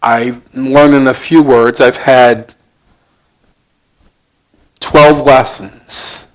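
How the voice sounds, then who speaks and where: An elderly man in his seventies speaks with a thick Brooklyn accent at a slow pace. He is an interview guest, reflective and plain-spoken, often halting as he gathers his words, with a modest, self-deprecating way of putting things. His voice sits at an average pitch. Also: steady tone. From Brooklyn, United States. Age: 70s.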